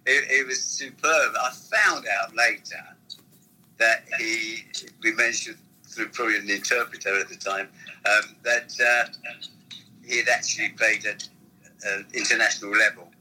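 Older man with a bright, husky voice. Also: lowish voice, steady tone, unhurried, rough recording. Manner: rambling anecdotal storytelling. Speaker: former football manager guest. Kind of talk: reminiscing interview.

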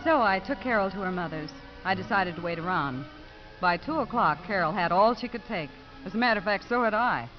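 A woman's voice: mid-range voice, animated, a little fast, faint music behind, lightly acted. An actress playing a composed, dryly skeptical woman in a 1950s radio drama. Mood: wry narration. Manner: dry, composed. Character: composed, dryly skeptical grown woman narrating her story. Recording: muffled, noisy 1950s radio broadcast.

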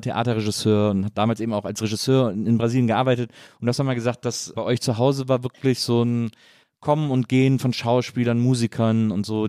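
Male interviewer, rapid informal delivery, enthusiastic and rambling, recorded dry with no room sound. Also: medium pitch, darkish tone, dry recording. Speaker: podcast host, interviewer. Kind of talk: casual conversational interview.

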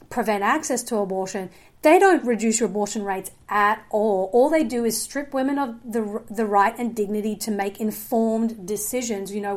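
A woman's voice: a little high, a little mellow. A woman, talking earnestly as a guest in a podcast interview, with many fillers and restarts. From Australia. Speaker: guest filmmaker.

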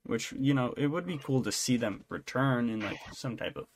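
A man's voice: mid-range voice, moderate pace, low-quality recording. A man co-hosting a podcast, chatting casually about entertainment news in an irreverent, joking, sometimes profane way. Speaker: podcast co-host.

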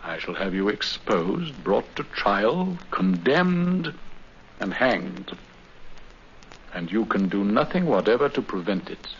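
An actor playing a coolly confident master detective with a refined English accent, urbane and dryly ironic, in a 1950s radio drama. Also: male voice, fairly low pitch, very animated, slow pace. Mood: steely resolve. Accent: English, Received Pronunciation. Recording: muffled, faintly hissy 1950s radio recording.

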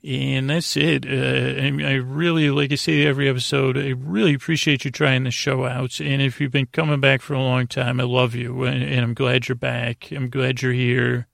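A man hosting a bedtime podcast in a gravelly voice, rambling haltingly and repeating words as he drifts into goofy, gently silly tangents. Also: mid-range voice, level tone, no echo, studio quality. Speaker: host of a bedtime sleep podcast. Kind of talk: rambling, meandering bedtime monologue.

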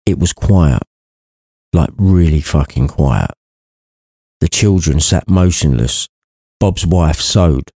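Low-voiced, mellow man narrating irreverently. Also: animated, takes it slowly, pauses often, no room sound, studio quality. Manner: sweary, wry asides. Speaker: narrator, podcast reader. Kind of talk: irreverent comic storybook narration.

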